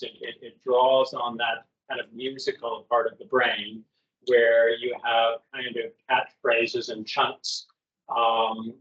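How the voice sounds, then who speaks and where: A male professor answering audience questions in a relaxed academic discussion, hesitant and full of fillers. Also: mid-range voice. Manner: hesitant, searching, many fillers. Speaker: presenting professor. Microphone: video call. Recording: dull lo-fi video call audio.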